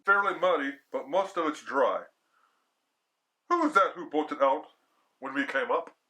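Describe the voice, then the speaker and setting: A man's voice: fairly high pitch, expressive, unhurried, sharp tone. A man voicing a hearty, breezy sportsman husband in a story reading.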